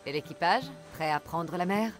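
An actress playing a steady, battle-worn Greek mercenary in a French video game dub, calm and frank. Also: female voice, fairly low pitch, normal speed, close to an everyday voice. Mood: warm, composed. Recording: loud music behind the voice.

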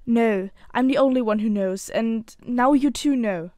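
A woman's voice: on the high side, measured pace, mellow, dry recording, clean studio sound, natural voice. A woman playing a loyal young fiancée in an audio drama, speaking earnestly and confidingly. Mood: defensive, earnest.

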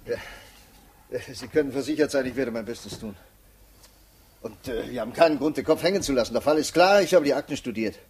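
An actor playing a polite, officious defence lawyer in a 1950s German radio play, formal, hesitant and placating. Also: male voice, mid-range voice, a little fast, a little mellow, close to an everyday voice. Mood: polite, reassuring.

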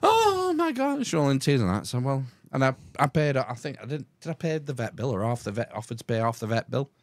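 A man's voice: medium pitch, sing-song, fairly quick, no room sound. A man telling a story in casual podcast banter, swearing freely. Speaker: podcast co-host.

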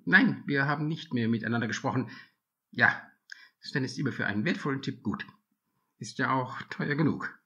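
Give me an eager, deferential henchman, voiced by a bright synthetic man in dull lo-fi sound. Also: medium pitch, moderate pace. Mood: obliging. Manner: obliging and deferential. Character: eager male henchman taking orders. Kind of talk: synthetic text-to-speech reading.

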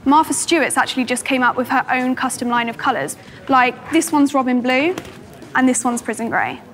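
A dry-witted English student coder. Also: female voice, sits high, a little fast, fairly bright tone. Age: young adult. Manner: dry, sarcastic, a little flustered. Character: young English female student programmer, awkward but dry.

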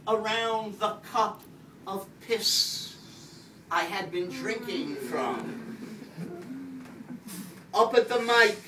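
Older guy doing a live poetry reading, wry and slow, recorded far from the mic w some noise. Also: high voice, pushed and bright. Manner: wry, projecting to a room. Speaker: poet. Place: small crowded indoor venue, on stage. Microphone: distant camera from the audience. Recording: roomy, distant audience recording with background noise.

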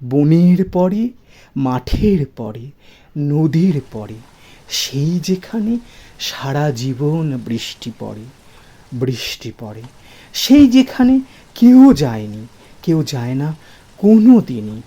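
A reciter performing poetry in a theatrical, emotive way that moves between hushed and full-voiced delivery, with music behind. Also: mid-range voice, very animated, takes it slowly, pauses often. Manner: theatrical, swelling from hushed murmurs to loud emotive lines. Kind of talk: expressive dramatic poetry recitation over music.

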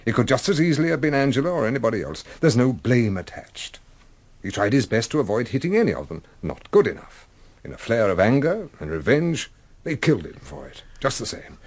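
Husky, tender older British gentleman. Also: lowish voice, exaggerated voice. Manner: soothing, murmuring, old-fashioned courtesy. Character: ageing, tender, protective husband, genteel gentleman. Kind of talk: radio drama acting.

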